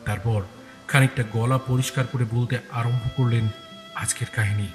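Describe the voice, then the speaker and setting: A man giving dramatic scripted first-person narration for an audio story, in deliberate, suspenseful phrases. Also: mid-range voice, steady tone, over music.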